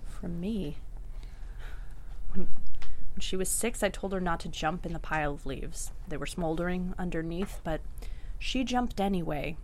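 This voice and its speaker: A woman's voice: lowish voice, normal speed, long pauses, a little hiss or hum, natural voice. A woman playing a candid, reflective older mother in a plainspoken way at a script table read. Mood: wistful.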